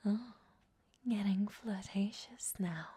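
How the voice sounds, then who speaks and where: A woman's voice: mid-range voice, slow pace, warm tone. A woman playing a vain, flirtatious captive vampire in a whispered roleplay audio drama, with a coy, teasing delivery. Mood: flirtatious, sly. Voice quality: breathy, whispery.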